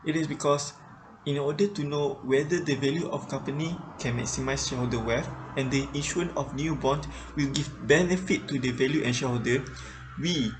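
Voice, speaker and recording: A man in his twenties with a Malaysian accent giving a student presentation haltingly, repeating words as he goes. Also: mid-range voice. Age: 20s. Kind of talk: group project presentation. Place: small plain indoor room. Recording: clear background noise, room ambience.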